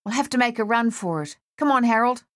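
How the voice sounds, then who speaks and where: Woman as a gruff, urgent soldier. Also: on the high side, expressive, brisk, voice pushed up, natural voice. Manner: gruff, imploring. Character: gruff, urgent young Australian male soldier, steadying his comrade. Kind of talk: audiobook character voice.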